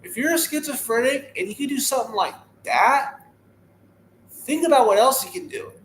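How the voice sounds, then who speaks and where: A man's voice: very high voice, expressive, normal speed, fairly bright tone, reverberant, slight background noise, low-quality recording. A man in his thirties talking casually as a podcast guest, earnest and repetitive as he gives a motivational message. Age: 30s. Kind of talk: casual interview talk.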